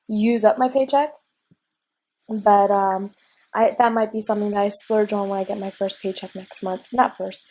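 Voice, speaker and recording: A woman's voice: mid-range voice, warm tone. A young woman getting over a cold, sniffly, rambling casually with many ums as she narrates her gameplay at home. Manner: rambling, chatty, frequent ums and restarts. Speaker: let's play gamer. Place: at home at a desk.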